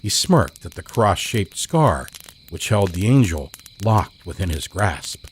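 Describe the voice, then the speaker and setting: A man hosting a horror fiction podcast and reading its stories in a measured, dramatic way. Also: a little hiss or hum. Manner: measured, dramatic storytelling with lively pitch. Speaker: podcast host and narrator. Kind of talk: scripted horror narration.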